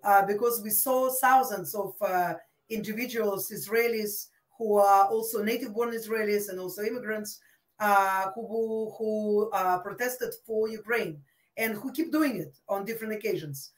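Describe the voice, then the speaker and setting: A woman from Russia gives measured interview answers, pausing often with fillers as she thinks. Her voice sits at an ordinary middle pitch. She sounds set back from the mic in an echoey room. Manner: hesitant, many fillers, thoughtful. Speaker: interview guest, expert. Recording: roomy, distant from mic.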